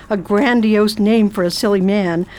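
A woman's voice: mid-range voice, takes it slowly, dark tone, close to an everyday voice. A woman playing a commanding spinster travel writer. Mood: stern. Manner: commanding, crisp, condescending. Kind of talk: amateur radio drama acting.

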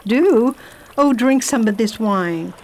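A woman playing a self-assured, kindly lady traveller in radio theatre, speaking in a formal, deliberate and imperious way. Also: on the high side, expressive, a little hiss or hum. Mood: brisk, kindly. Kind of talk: radio theatre acting and diary narration.

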